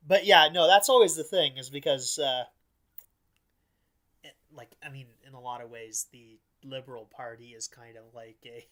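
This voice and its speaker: Male podcast host, mid-range voice, loose and halting delivery, thinks aloud in informal political chat. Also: mid-range voice, fairly even intonation, a bit bright. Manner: rambling, halting, frequent "like", casual swearing. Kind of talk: casual political discussion.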